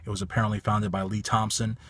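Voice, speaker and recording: A man in his thirties with a raspy voice, giving a blunt, emphatic bodybuilding opinion vlog from a parked car on a phone held at arm's length. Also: lowish voice, little pitch movement, fast, a bit bright. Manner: blunt, emphatic, repeats stock phrases. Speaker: bodybuilding commentator.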